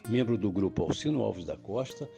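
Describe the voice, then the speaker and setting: A man hosting an interview, giving a scripted introduction and questions in a measured, formal presenting manner. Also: lowish voice, animated, creaky, dark tone, faint music behind. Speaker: interviewer and host.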